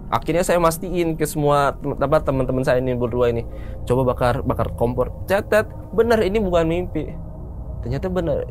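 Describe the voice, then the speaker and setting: A man of about thirty, casually recounting a personal story in informal, colloquial speech. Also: medium pitch. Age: around 30. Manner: informal, colloquial, acts out bits of dialogue. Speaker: storyteller, guest recounting a mountain hike.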